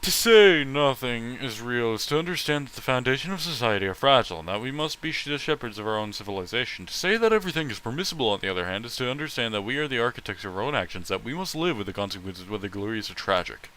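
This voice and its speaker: A man's voice: mid-range voice, expressive, quick pace, few pauses, sharp tone, slight background noise, rough recording. A man voicing an angry, self-righteous young male fighter in a story reading, lecturing pompously. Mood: solemn, lecturing. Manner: pompous, declamatory.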